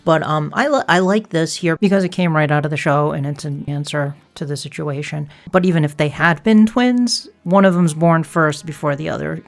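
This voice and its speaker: A woman's voice: low voice, normal speed, gravelly, hardly any pauses. A woman hosting a fan podcast, chatting and making introductions in an enthusiastic manner. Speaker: podcast host.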